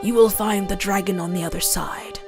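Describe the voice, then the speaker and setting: A woman's voice: medium pitch, fairly even intonation, normal speed. A woman reading scripted narration for a fantasy storybook. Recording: game music under the voice. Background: fantasy game music.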